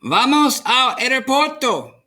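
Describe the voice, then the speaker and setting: Man voicing a high, bright storybook grandmother. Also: big pitch swings, measured pace, heavily acted. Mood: bright, urging. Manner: exclaiming short bilingual phrases. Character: warm, adventurous Spanish-speaking grandmother from a picture book.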